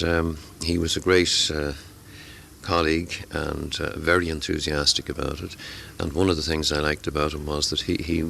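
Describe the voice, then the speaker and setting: A man's voice: extremely low pitch, vocal fry, sharp tone, a little hiss or hum. A middle-aged man who tutors amateur radio classes, talking at a convention in a hesitant way, with many fillers and repeated words. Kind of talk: conversational interview.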